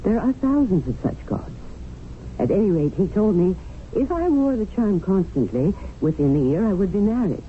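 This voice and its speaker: Woman playing bossy practical english widow in colonial india, lively voice, tinny old radio drama. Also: mid-range voice, normal speed, a little hiss or hum, lightly acted. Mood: coaxing, pleased.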